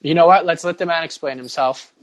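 A man's voice: on the high side, fairly quick, a crowd in the background. A man co-hosting a casual sports podcast, joking and steering the banter playfully. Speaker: podcast co-host.

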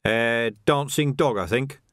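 A man's voice: medium pitch, measured pace, a bit bright, no echo, lightly acted. A man voicing a wry male city watch sergeant with a dry, mock-innocent manner, in an audiobook story. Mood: probing.

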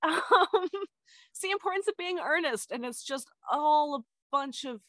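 A stage actor chatting as a podcast guest, lively and laughing often, with the pitch jumping up when excited. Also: female voice, unhurried, no echo. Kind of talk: casual chat.

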